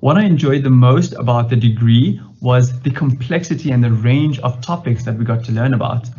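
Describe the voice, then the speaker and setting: A man's voice: medium pitch, normal speed, mellow, muffled recording. A young man graduate giving a friendly testimonial from home. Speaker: recent graduate. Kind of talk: testimonial to camera.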